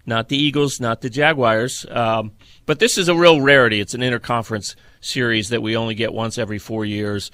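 A middle-aged man hosting a football podcast, talking through sports analysis in a measured, detailed, conversational way. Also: medium pitch, steady tone, fairly bright tone, smooth, no echo, studio quality.

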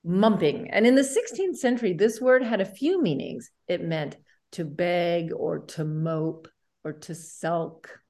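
A woman's voice: on the low side, animated, unhurried, dark tone. A woman co-hosting a podcast in an upbeat style of presenting and chat. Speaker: podcast co-host.

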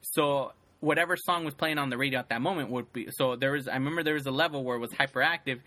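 A man co-hosts a casual podcast in a bright, forward voice. He rambles loosely, piling up fillers and restarting his sentences as he talks. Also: mid-range voice, quick pace, no room sound. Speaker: podcast co-host. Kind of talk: casual chat.

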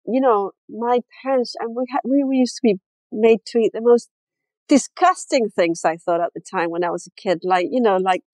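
A woman in her fifties from Chile, a fashion designer as a guest, chatting candidly and with self-deprecating humor in a relaxed interview. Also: fairly high pitch, moderate pace, no echo, rough recording. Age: 50s. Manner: candid, self-deprecating, laughs often, many "you know"s. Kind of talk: casual interview chat.

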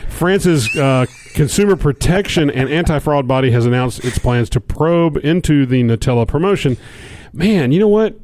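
A man's voice: fairly high pitch, lively intonation, normal speed, darkish tone, no room sound. A man co-hosting a podcast, bantering casually and reading odd news stories with joking, wry asides. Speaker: podcast co-host.

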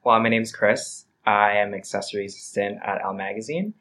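A man's voice: on the low side, fairly quick, sharp tone, slight background noise. A man in his twenties from New Jersey, a guest chatting in a playful, slangy, informal way. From New Jersey, United States. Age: 20s. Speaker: podcast guest. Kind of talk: casual chat.

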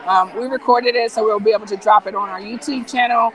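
Enthusiastic woman, voice going hoarse. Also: on the high side, fast talker, crowd noise, low-quality recording. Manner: relaxed, filler-heavy, enthusiastic. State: losing her voice. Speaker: founder of a nonprofit hacking group, guest. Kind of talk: casual live interview chat.

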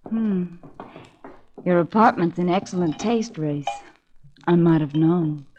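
An actress playing a seductive, scheming femme fatale in a 1940s radio drama, with a sultry, calculating delivery. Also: female voice, on the low side, slow pace, darkish tone, slight background noise. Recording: dull vintage radio recording.

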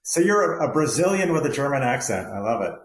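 A man's voice: medium pitch, very animated, quick pace. Man hosting an interview, roomy sound. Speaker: podcast host.

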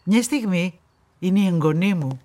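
A woman's voice: on the low side, animated, dark tone, close to an everyday voice. An older woman playing a stern, proud grandmother in a radio play, speaking in an emphatic, brusque and opinionated way. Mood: tender. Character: stern, proud old grandmother who dotes on her granddaughter.

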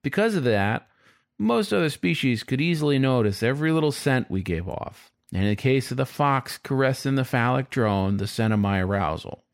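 A man's voice: mid-range voice, animated, normal speed, dry recording, clean studio sound, lightly acted. A man voicing a cheeky, lustful young male fox who tells his own story in a chatty, wry, confiding way, as in an audiobook. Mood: playful, confiding. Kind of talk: first-person audiobook storytelling.

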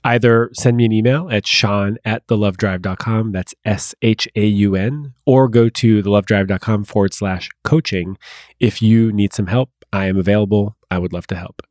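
A man's voice: fairly low pitch, steady tone, moderate pace, darkish tone, no room sound, clean studio sound. A man speaking conversationally as a podcast host and love coach, encouraging and direct.

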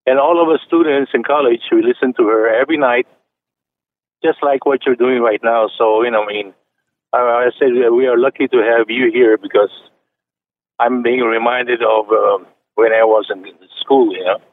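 A man's voice: medium pitch, fairly even intonation, moderate pace. Older man calling in on a tinny phone line. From China. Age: 70s. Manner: hesitant, many fillers and restarts. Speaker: caller. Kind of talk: phone-in chat. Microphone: telephone.

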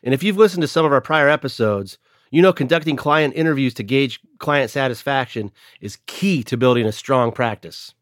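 Male host, mid pitch, scripted intros, dry studio sound. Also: moderate pace, no echo. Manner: read-aloud segment intros. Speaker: podcast host. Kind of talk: scripted podcast narration and interviewing.

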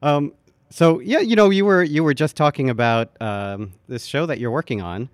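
A man's voice: on the high side, normal speed, dry recording, clean studio sound. A man hosting a podcast in casual chat, stammering through fillers and restarts. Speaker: podcast host.

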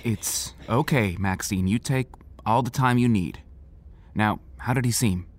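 A man playing a patient, authoritative small-town sheriff in an audio drama, measured and gently firm. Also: on the low side. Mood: gentle. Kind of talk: naturalistic audio drama acting.

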